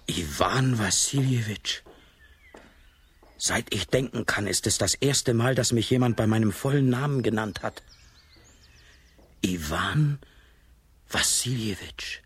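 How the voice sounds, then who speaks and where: An actor playing a naive, lazy, good-natured peasant lad in a children's radio play, with a husky, wondering voice. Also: male voice, lowish voice, takes it slowly, long pauses, full character voice. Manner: wondering, questioning, expressive.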